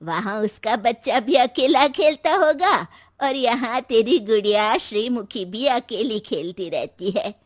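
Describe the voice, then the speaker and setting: Sorrowful old mother played by a woman: husky, slow, plaintive, with wry touches. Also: a little high, lively intonation, no echo, clean studio sound. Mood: wistful.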